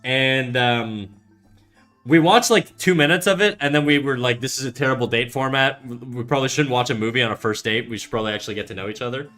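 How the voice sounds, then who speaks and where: A man's voice: mid-range voice, quick pace, fairly bright tone, soft background music. A young man from Canada casually chatting on an art livestream, rambling and swearing, with lots of fillers and restarts. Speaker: art streamer. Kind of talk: casual livestream chat reading chat aloud.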